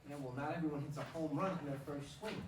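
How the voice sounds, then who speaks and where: An actor playing an earnest, wry young rookie ballplayer, halting and a little defensive, in a staged play reading. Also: male voice, fairly high pitch, close to an everyday voice. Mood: earnest, uneasy. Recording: echoey room, distant mic, clearly noisy.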